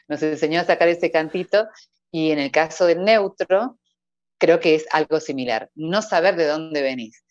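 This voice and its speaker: A low-pitched woman from Córdoba, Argentina, with a lilting Cordobés accent, talking easily and laughing often. Her voice comes through earphone headset audio on a video call, a little dull and very dry. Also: a little slow, no echo. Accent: Cordobés Argentine Spanish. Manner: laughs often, warm sing-song lilt. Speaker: voice-over artist guest. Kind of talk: casual interview over video call. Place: indoor room at home.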